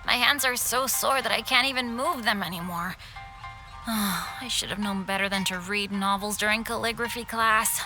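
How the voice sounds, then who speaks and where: A woman's voice: mid-range voice, normal speed, lightly acted. A woman playing a worn-out, apologetic young pupil in a video game, pleading wearily. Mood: weary, pleading. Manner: pleading, sighing. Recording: music under the voice.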